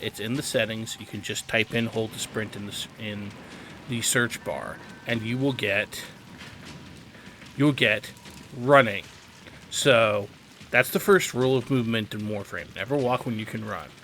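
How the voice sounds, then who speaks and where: A man's voice: fairly low pitch, normal speed, fairly bright tone, a little hiss or hum. A man hosting a gaming video, giving instructional tutorial commentary and stressing his key advice.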